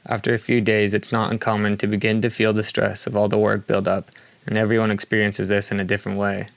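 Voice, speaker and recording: Low, flat, gravelly male narrator. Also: no echo. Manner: calm, measured, reflective. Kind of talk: scripted voice-over narration.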